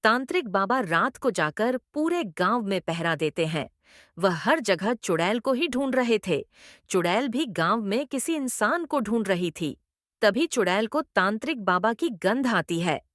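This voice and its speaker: A woman's voice: medium pitch, expressive, measured pace, fairly bright tone, no room sound, studio quality. A woman narrating a scripted horror story as storyteller.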